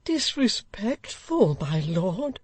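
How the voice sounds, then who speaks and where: A woman voicing a choleric, frantic old gentleman who pleads and protests in indignant outbursts. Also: mid-range voice, sing-song, slow, breathy edge, no room sound, pitched above the performer's own voice, exaggerated voice.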